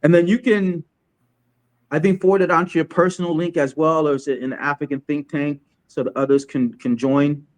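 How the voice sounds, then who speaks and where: A man's voice: fairly high pitch, fairly even intonation. Chatty man from Chad hosting a livestream. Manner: halting, many restarts while setting up. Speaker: host. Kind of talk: casual livestream interview hosting.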